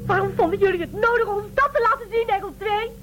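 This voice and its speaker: A woman's voice: very high-pitched, steady tone, fast, a bit bright, soft background music. A woman playing an upset twenty-five-year-old starship crew member, speaking emotionally in a science-fiction radio drama. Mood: distraught. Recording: humming noise behind radio-play dialogue.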